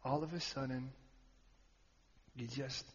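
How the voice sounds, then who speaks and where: A man preaching a sermon, animated and joking with the audience, sometimes shouting out a word. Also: mid-range voice, slow. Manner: animated, shouting at peaks, jokey asides to the audience. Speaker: pastor. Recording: muffled hall recording.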